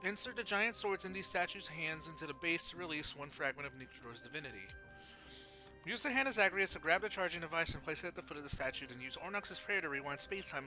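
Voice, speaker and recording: A man's voice: a little high, fast talker, music in the background. A man streaming a video game, commenting on the play and reading game text aloud.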